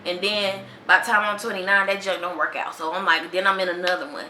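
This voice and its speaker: A young woman talks straight into the mic, bright and bold. She speaks in a slangy, animated way. The room gives her voice an echo, with a faint hiss underneath. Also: on the low side, fast. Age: 20s. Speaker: podcast host. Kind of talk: casual solo monologue. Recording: echoey room with faint noise.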